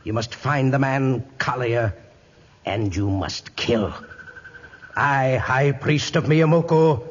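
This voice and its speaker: An actor playing a stern, scheming high priest of a moon god in 1950s radio style, solemn and commanding. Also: male voice, takes it slowly, dull audio. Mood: solemn, menacing. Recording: old radio recording, long reverb, music behind.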